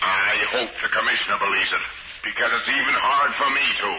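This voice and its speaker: An actor playing a surly, suspicious police lieutenant in a 1950s radio drama, brusque and accusing. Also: male voice, medium pitch, fairly quick, close to an everyday voice. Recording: noisy, echoey old 1950s radio recording.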